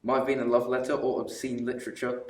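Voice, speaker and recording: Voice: mid-range voice, quick pace, lightly acted. A young actor playing a cheeky, teasing working-class holidaymaker man in a school radio play. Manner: teasing, loud and lively. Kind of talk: amateur radio play acting. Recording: roomy echo, distant mic, faint hiss.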